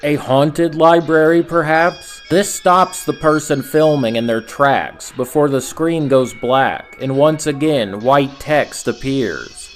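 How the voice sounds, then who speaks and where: A man narrating scripted horror commentary as a host, in a measured storytelling manner with rhetorical asides. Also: mid-range voice. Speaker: video essay host and narrator.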